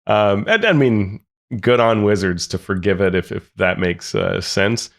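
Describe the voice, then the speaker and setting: A man's voice: lowish voice, unhurried, creaky. A man co-hosting a gaming podcast, giving his views in casual chat and thinking aloud with lots of restarts. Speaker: podcast co-host.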